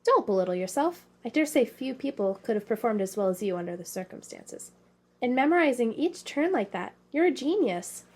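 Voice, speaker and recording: A woman's voice: fairly high pitch, sing-song, brisk. A woman playing a polite, encouraging young guide to gifted children in an audio drama, speaking in formal phrases.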